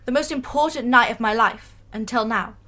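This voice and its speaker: A woman's voice: fairly high pitch, moderate pace, bright, forceful tone. A woman acting in a radio drama as a shy, humble young female fan who speaks hesitantly. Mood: wistful, earnest. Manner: hesitant, stammering.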